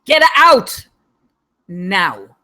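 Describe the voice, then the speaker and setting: Woman acting loyal, stern servant woman, medium-pitched. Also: huge pitch swings, very slow, sharp tone, full character voice. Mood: angry. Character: loyal, stern female household servant in gothic drama. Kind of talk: dramatic screenplay table read.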